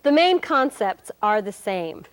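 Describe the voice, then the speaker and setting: A woman's voice: mid-range voice, very animated, measured pace. A woman acting as a wry, cheerful presenter, giving scripted instruction in a corporate training film. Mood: instructive.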